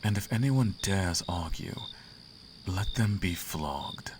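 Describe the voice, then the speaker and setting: Man voicing a very deep, gravelly demon prince. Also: expressive, background noise, heavily acted. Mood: commanding. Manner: slow, courtly, teasing. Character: seductive, imperious male demon prince of the Abyss. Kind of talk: flirtatious roleplay ASMR acting.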